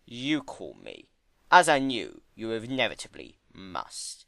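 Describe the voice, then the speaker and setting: A man voicing an aging male creature of chaos, smug, weary and tender, with deliberate, emphatic delivery in a dramatic story reading. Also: lowish voice, wildly swooping pitch, gravelly, a bit bright, no echo, studio quality, heavily acted. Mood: resigned confession. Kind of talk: dramatic fan-fiction reading.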